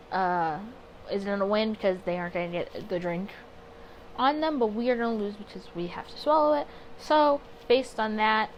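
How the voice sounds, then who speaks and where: A woman with a mid-pitched voice commentates on a story game over audible background noise. She is chatty and expressive, moving between reading narration aloud and thinking through her reactions. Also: low-quality recording. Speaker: gaming video creator. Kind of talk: casual playthrough commentary mixed with reading story text aloud.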